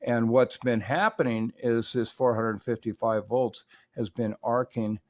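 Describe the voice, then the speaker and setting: A man with a mid-pitched voice narrates a repair tutorial. He sounds like a seasoned hands-on technician, mixing technical detail with folksy, colorful expressions. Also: fairly even intonation, a little slow. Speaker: radio repair technician and presenter.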